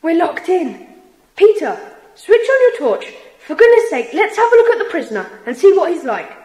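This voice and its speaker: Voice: very high voice, very animated, normal speed, darkish tone, low-quality recording. A performer playing a curious, cautious young schoolboy sidekick in a children's audio drama, eager and chatty. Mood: anxious, urgent.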